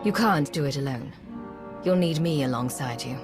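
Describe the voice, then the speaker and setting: An actor playing a cool, self-assured intelligence agent in a video game, with a deliberate, assured delivery. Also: male voice, a little high, over music, close to an everyday voice. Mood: determined. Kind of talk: video game cutscene acting.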